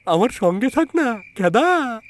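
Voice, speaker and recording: A man's voice: very high-pitched, big pitch swings, takes it slowly, voice pushed up, full character voice. A man voicing a terrified, superstitious villager in a horror audio story, pleading and repeating himself in panic. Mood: frightened, pleading. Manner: panicky, pleading, stammering repetitions.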